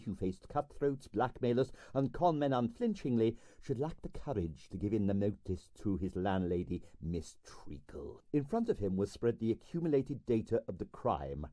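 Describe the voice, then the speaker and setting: Male audiobook narrator: scripted comic fiction, wry storyteller, warm soft tone, very animated intonation. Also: lowish voice, no room sound.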